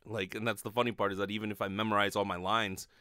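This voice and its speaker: A man's voice: fairly low pitch, level tone, quick pace, bright, forceful tone, clean tone, dry recording. A man in his thirties giving a casual, rambling, sweary monologue with lots of hesitation, speaking into a close studio microphone. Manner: informal, sweary, hesitant with frequent filler "like". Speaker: podcast host, actor and acting teacher. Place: small bedroom, indoors. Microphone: close studio microphone on a stand.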